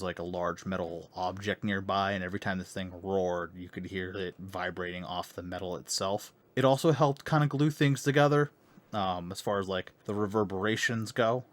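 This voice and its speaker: Deep-voiced man explaining his sound design. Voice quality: deep bass voice. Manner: loose, rambling explanation with many fillers. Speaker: music producer. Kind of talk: casual tutorial talk.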